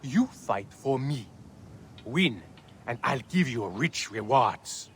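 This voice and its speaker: A man acting a brash, scheming arena boss in a video game, with a rough edge and theatrical, drawn-out delivery. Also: mid-range voice, expressive, fairly bright tone, slight background noise. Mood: sly, enticing.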